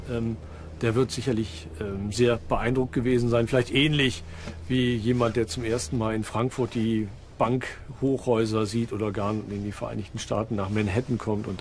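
A man explaining as an expert in a documentary interview, with deliberate, halting phrasing. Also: medium pitch. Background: background music.

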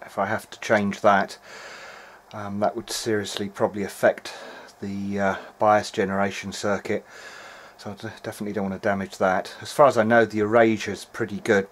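A middle-aged man talking through a repair in a hesitant, meandering, matter-of-fact way, as in a video diary. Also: fairly low pitch. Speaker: electronics repair hobbyist. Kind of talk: video diary, thinking aloud while working. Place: indoor workbench.

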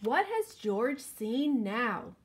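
A woman in her thirties giving an expressive picture-book read-aloud, speaking directly to young children. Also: a little high, extremely slow, slightly rough. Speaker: children's librarian reading at story time. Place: indoors, living room at home.

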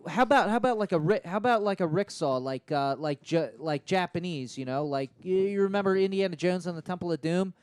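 A man in his thirties playing an eager, scheming dad making a rambling, persistent sales pitch on a prank call. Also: expressive, a little fast, hardly any pauses, clear, resonant tone, dry recording. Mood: eager, insistent. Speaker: prank caller. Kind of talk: improvised prank phone call. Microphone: handheld microphone held close to mouth.

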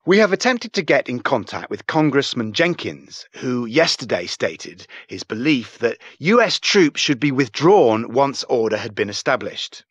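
A man playing a serious male television news anchor in a video game, reading scripted reports in a formal, measured, emphatic manner. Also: mid-range voice, sing-song, a bit bright, slightly rough, no room sound, lo-fi sound, heavily acted. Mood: grave, formal.

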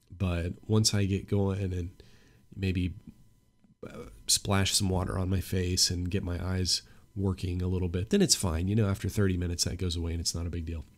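Young male podcast host, congested, low-pitched, earnest and opinionated. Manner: earnest, opinionated, grows emphatic. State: stuffy nose from a cold. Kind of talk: solo podcast monologue.

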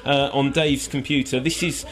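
A man reporting for radio, loud and upbeat as he interviews a guest. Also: medium pitch, fairly even intonation, normal speed. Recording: faint music behind.

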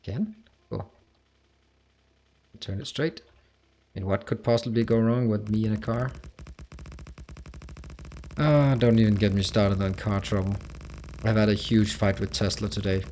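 A man's voice: fairly low pitch, darkish tone, a little hiss or hum. A man from Denmark with a Danish accent, chatting casually on a game livestream and answering chat as he plays. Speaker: streamer.

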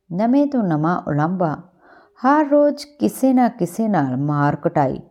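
A woman's voice: lowish voice, warm tone. A woman reading the part of a stern, fed-up village father in a story, scolding and complaining with a Punjabi accent.

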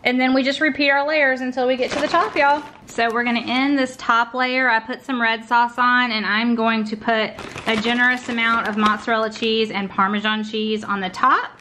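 A chatty woman in her thirties talks viewers through a recipe in her home kitchen, with a bright, forceful tone and a voice that sits a little high. She keeps calling her audience y'all, and her voice is picked up by the camera. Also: level tone, moderate pace, a little hiss or hum. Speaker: cooking vlogger. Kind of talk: casual cook-along narration.

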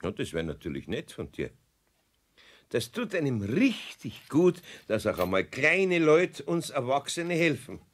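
A man's voice: mid-range voice, wildly swooping pitch, unhurried. An actor playing a fatherly old craftsman with a Bavarian accent, grumbling but kindly, in a children's radio play. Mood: grumbling, appreciative. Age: older.

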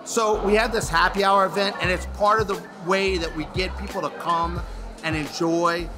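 A man's voice: sits high, fairly even intonation, moderate pace. A man talking casually in an interview. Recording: music behind the voice.

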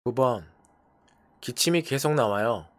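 A teacher giving a language lesson, briskly prompting numbered phrases in turn. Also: mid-range voice, expressive, no echo. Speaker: English teacher.

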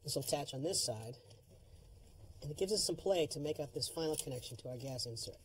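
Fast-talking middle-aged man explaining hands-on. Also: a little high, lively intonation, slight background noise. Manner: plain-spoken, instructive. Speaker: plumbing and heating expert on a home improvement show.

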